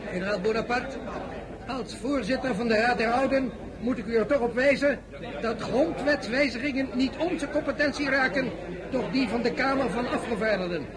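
An actor playing a cautious senate president in an audio drama, speaking in a formal, admonishing official manner. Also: male voice, extremely high pitch, normal speed, low-quality recording. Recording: very loud crowd behind.

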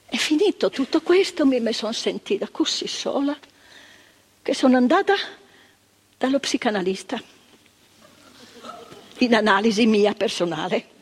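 A proud older retired carer. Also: female voice, on the high side, lively intonation, a little slow, pauses often, breathy edge. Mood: reflective. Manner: emphatic, building repetitions, conversational asides to the listener. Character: retired female psychiatric worker, proud, impassioned, reflective. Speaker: stage actress in a monologue. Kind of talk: theatre monologue. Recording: stage recording with long reverb.

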